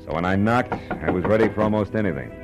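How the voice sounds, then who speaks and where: An actor playing a tough, wry private detective in a hardboiled 1940s radio drama, clipped and sardonic. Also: male voice, fairly low pitch, fast talker, over music, lightly acted. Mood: hardboiled narration. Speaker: lead character and narrator.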